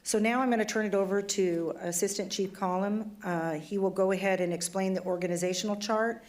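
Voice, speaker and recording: A woman police chief giving a formal presentation to a city council, with a voice that is going hoarse as she speaks in a plain, conversational way. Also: medium pitch, steady tone, a little fast, darkish tone. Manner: conversational and plainspoken, some fillers. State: losing her voice, throat trouble.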